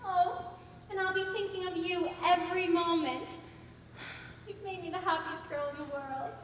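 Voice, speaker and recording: A woman's voice: very high voice, lively intonation, moderate pace, pushed and bright, full character voice. A woman playing a vain, gushing socialite actress in a stage comedy, with grand, affected delivery and lavish endearments. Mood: gushing, breathless. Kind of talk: stage comedy acting, projected and theatrical. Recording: echoey hall from far off, faint noise.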